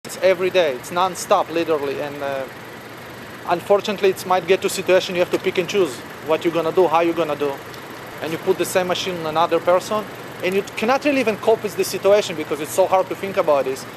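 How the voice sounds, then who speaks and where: Fast, high-voiced man on noisy street. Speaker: interviewee. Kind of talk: spontaneous interview. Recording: noisy outdoor street with traffic.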